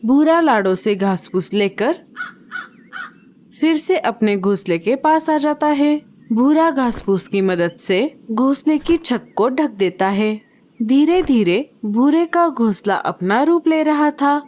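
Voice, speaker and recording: Calm, unhurried woman narrator. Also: high-pitched. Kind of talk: scripted storybook narration.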